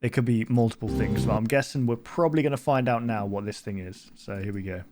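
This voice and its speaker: A man's voice: medium pitch, quick pace, over loud music. A man hosting a reaction video in a casual, rambling, speculative way.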